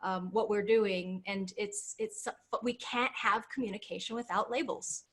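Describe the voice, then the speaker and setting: A woman's voice: mid-range voice, fairly even intonation, normal speed, fairly bright tone. Earnest, emphatic woman panelist. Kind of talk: casual group discussion.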